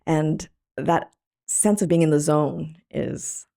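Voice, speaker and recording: A middle-aged woman who grew up in Thailand, an artist talking through her story in a thoughtful, halting way with many pauses and ums. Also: sits low, creaky, dark tone. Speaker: artist guest. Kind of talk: reflective interview talk.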